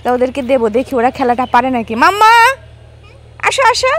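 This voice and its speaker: A woman's voice: high voice, sing-song, fast, a bit bright. A young woman, a mother chatting casually with small children and narrating a family vlog, coaxing them with simple questions and short repeated phrases. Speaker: mother filming her young daughters.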